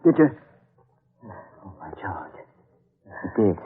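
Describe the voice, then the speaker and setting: Tinny old radio recording, excitable professor, husky high voice, exclamatory horror-drama delivery. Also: male voice, takes it slowly, full character voice. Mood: anxious, relieved. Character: alarmed, helpful male professor, family friend. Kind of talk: 1940s radio horror acting.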